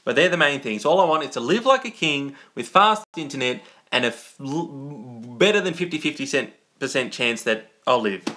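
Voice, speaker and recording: A man's voice: medium pitch, lively intonation, moderate pace, hardly any pauses, sharp tone. An Australian man hosting a casual livestream, chatting in a rambling, jokey way and often interrupting himself. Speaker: livestream host.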